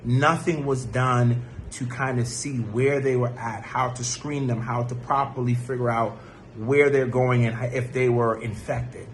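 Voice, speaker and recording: A man with a medium-pitched voice explains things from personal experience as a vlogger. He is emphatic and repeats points for weight, with faint noise in the background. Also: fairly even intonation, a little slow. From born in Jamaica, grew up in the United States. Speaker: vlogger sharing a travel experience. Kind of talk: explanatory personal talk with examples.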